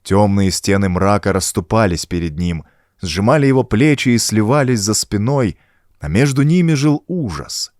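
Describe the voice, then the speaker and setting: A man's voice: lowish voice, a little mellow, no room sound, studio quality. A man narrating a horror adventure audiobook in a suspenseful, theatrical manner. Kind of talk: dramatic scripted narration of a horror adventure story.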